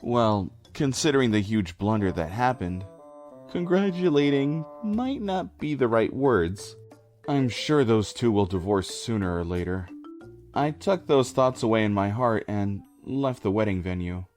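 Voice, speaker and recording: A man's voice: lowish voice, expressive, unhurried, a little mellow, soft background music. A man reading scripted first-person story narration for a comic dub.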